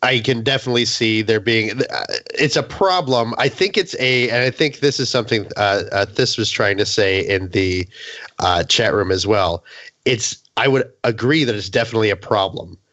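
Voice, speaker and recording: Bright-voiced man, halting casual talk. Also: fairly low pitch, no room sound, dull audio. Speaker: podcast panelist. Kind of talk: casual gaming discussion.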